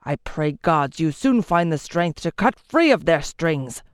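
A woman voicing an angry, proud male lord who spits his words and shouts orders to his warriors. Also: on the low side, very animated, normal speed, no echo, clean studio sound. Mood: scornful. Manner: harsh, shouting commands.

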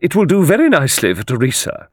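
A man voicing an autocratic, sharp-tongued old lady with a brisk, emphatic, commanding manner in an audiobook. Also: on the high side, huge pitch swings, slight background noise, pitched above the performer's own voice. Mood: brisk, imperious. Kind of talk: audiobook character voice.

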